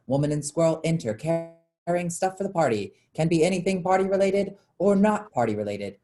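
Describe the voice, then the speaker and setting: Female stage-directions reader for a play reading, deep-toned, scripted narrator delivery. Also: warm tone.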